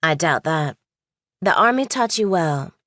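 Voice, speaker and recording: A woman's voice: low voice, expressive, unhurried, fairly bright tone, no room sound, clean studio sound. A woman voicing a skeptical young law student in an audiobook, clipped, probing and lawyerly. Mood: dry, skeptical. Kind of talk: audiobook character dialogue.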